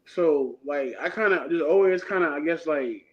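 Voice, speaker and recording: Brief: man in his thirties, interview guest, relaxed and slangy, highish voice, muffled lo-fi sound. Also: moderate pace. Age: 30s. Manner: laid-back, rambling, slangy. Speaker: guest artist. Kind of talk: casual interview talk. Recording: dull lo-fi sound.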